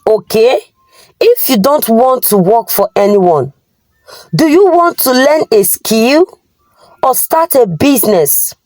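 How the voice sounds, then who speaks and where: A woman's voice: a little high, animated, slow pace, darkish tone, no echo, low-quality recording. A Nigerian-accented woman voicing a stern, responsible elder brother who questions and admonishes in a folktale. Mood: measured.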